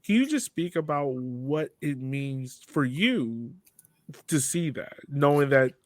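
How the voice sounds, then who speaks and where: A man's voice: medium pitch, expressive, slow pace, no room sound. A man hosting a podcast, asking questions in a casual, conversational way. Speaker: podcast host, interviewer.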